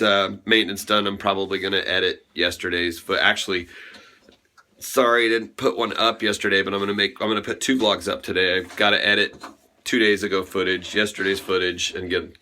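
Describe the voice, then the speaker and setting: Hoarse male vlogger, bright and forceful voice, chatty and rambling, light noise behind. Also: lowish voice. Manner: rambling, chatty, quick to laugh. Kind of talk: casual daily vlog chat.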